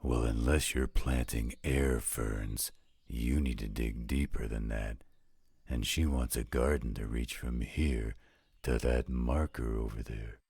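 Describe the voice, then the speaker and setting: A man voicing a gruff, patient older werewolf stepfather in a scripted roleplay audio drama. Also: extremely low pitch, steady tone, a little mellow, no echo, heavily acted. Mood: matter-of-fact, patient. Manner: gruff, dry, unhurried.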